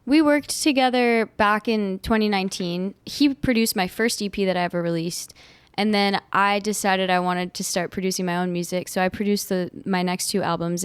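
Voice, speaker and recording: A woman in her late twenties from Nashville, a singer-songwriter guest on a podcast, bubbly and upbeat and quick to laugh. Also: mid-range voice, clear, resonant tone, no echo. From Nashville, United States. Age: 20s. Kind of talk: casual interview chat.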